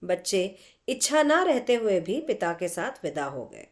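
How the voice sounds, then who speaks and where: A woman's voice: medium pitch, sing-song, measured pace. A woman storyteller hosting a podcast, narrating expressively and speaking directly to her listeners.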